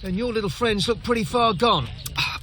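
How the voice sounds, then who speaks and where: A man's voice: high-pitched, moderate pace, pushed and bright, slight background noise. A man playing a weary, wary guard at a refugee camp in a fantasy game, blunt and exasperated. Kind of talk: fantasy video game character acting.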